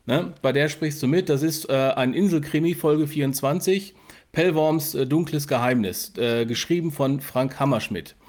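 A man's voice: fairly high pitch, normal speed, a little mellow. A man co-hosting a podcast, chatting casually as he interviews a guest. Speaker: podcast co-host.

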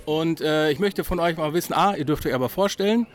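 A man's voice: fairly high pitch, a little fast. A man doing casual on-site interviews as a podcast host. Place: outdoors at a busy convention. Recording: crowd chatter, busy event outdoors.

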